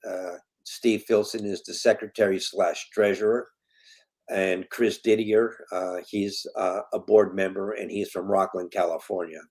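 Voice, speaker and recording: Earnest, lower-voiced man in his fifties. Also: steady tone, a little slow, darkish tone. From Colombia. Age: 50s. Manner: earnest, emphatic, repeats key points. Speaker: guest, foundation president. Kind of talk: casual interview talk.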